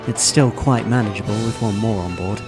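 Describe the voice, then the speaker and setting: A man voicing a polite, smooth-talking teenage boy in a fan audio drama. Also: fairly low pitch, a little fast, over music, lightly acted.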